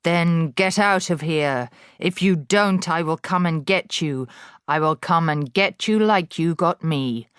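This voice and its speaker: A woman voicing a slow, menacing ghost. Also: lowish voice, clean tone, dry recording, studio quality. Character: vengeful ghost of a man, quiet and menacing.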